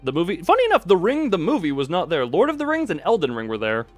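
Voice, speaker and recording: A man's voice: sits high, wildly swooping pitch, fast talker, no room sound. Male streamer, sarcastic and playful, commenting live on gameplay with music underneath. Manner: wry, sarcastic, playful exclamations. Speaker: game streamer. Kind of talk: live gameplay commentary, reading dialogue aloud. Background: game music.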